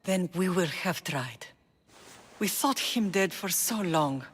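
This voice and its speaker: A woman's voice: fairly low pitch, lively intonation, takes it slowly, slightly rough, exaggerated voice. An actress playing an earnest, middle-aged mother of royal Spartan blood in a dramatic video game, solemn and heartfelt. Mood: pleading. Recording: music behind the voice.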